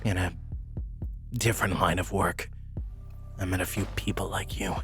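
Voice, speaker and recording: A man playing a tough, cool and dangerous character in an audio drama, with a measured delivery. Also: deep voice, little pitch movement, gravelly, a bit bright, soft background music. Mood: cool taunting. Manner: measured, taunting.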